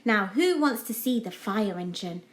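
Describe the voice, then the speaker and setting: A woman's voice: medium pitch, animated, unhurried, natural voice. A woman voicing a friendly, encouraging firefighter in a children's storybook, upbeat and reassuring. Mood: explaining. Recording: echoey room.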